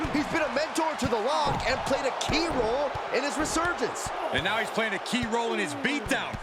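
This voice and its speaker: Excitable high-pitched male wrestling commentator. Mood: dismayed. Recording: loud music and arena noise behind.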